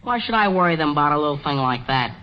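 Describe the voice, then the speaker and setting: A man's voice: on the high side, lively intonation, fast, a little hiss or hum, lightly acted. An actor playing an eager, whiny teenage boy, the hero's pal, in a 1940s radio comedy. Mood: eager, incredulous. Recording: muffled old radio broadcast recording.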